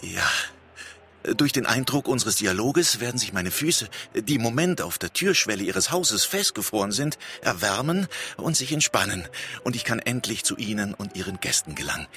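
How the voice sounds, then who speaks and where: An actor playing a pleading, excitable house guest in an absurdist radio play, theatrical and earnest. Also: male voice, lowish voice, normal speed, slight background noise, full character voice. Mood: earnest, insistent. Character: pleading, excitable male guest, frozen to a doorstep.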